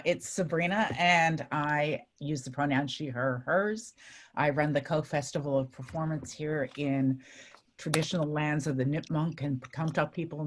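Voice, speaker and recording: Woman, very low voice, friendly, halting, fillers, video call. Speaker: festival director. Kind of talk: casual group check-in on a video call.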